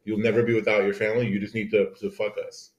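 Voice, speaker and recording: A man's voice: lowish voice, little pitch movement, fast, muffled recording. A man co-hosting a podcast in casual banter, crude and teasing, often pushing with questions, recorded with an echoey room sound. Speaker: podcast co-host.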